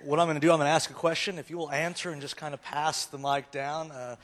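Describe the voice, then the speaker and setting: A man's voice: fairly high pitch, flat delivery, quick pace, a bit bright. A man hosting a student panel in front of an audience, loose and hesitant with many fillers. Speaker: host. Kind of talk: casual emcee Q&A.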